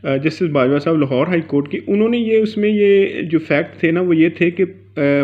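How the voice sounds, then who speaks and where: A man teaching law informally, point by point, mixing in English terms and often adding little check-in tags. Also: on the high side. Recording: dull lo-fi sound.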